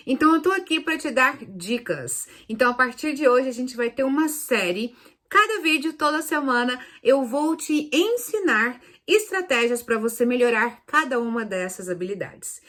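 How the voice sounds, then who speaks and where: A Brazilian woman speaks in a fairly high voice as a teacher hosting an online lesson. Her delivery is casual and explanatory. She is didactic, often repeating and rephrasing the main points so they are easy to follow. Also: fairly bright tone. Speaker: Spanish teacher hosting a video lesson series.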